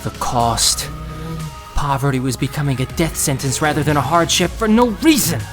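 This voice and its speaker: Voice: medium pitch, moderate pace, music in the background, lightly acted. An actor playing an anxious, self-doubting, idealistic young thief who narrates their own story earnestly and intensely in an audio drama. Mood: grieving, bitter.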